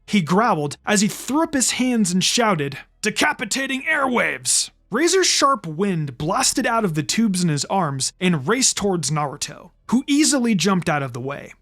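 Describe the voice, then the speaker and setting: A man's voice: high-pitched, big pitch swings, moderate pace, few pauses, a bit bright, soft background music. A man reading fan fiction aloud as a dramatic story narrator.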